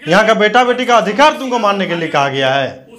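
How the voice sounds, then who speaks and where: Guy in his 30s, high voice, sweary scornful political rant into a lapel mic. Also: very animated, fast, fairly bright tone. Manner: scornful, abusive, confrontational. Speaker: news commentator. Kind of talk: combative political rant to camera.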